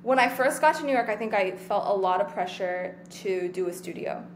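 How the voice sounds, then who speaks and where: A person in their twenties from Taiwan, chatting casually as an interview guest, relaxed and rambling with lots of fillers. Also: female voice, medium pitch, normal speed, slight background noise. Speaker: guest actor.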